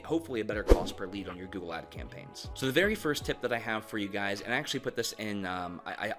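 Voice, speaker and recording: A man with a fairly low voice explains advertising tips to camera in a relaxed, conversational way, leaning on "you know" and "um" as he talks. Music plays clearly underneath him throughout. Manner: conversational, frequent "you know" and "um" fillers, direct advice to viewers. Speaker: marketing agency owner giving advice.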